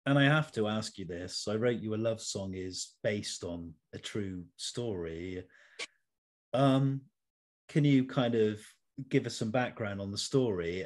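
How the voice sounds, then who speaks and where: A man hosting an interview, asking conversational questions in measured, deliberate phrases. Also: lowish voice. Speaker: interview show host.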